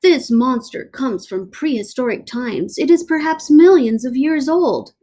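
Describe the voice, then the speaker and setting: Woman as a grave, slow mouse professor. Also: high voice, lively intonation, warm tone, pitched above the performer's own voice. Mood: grave, explaining.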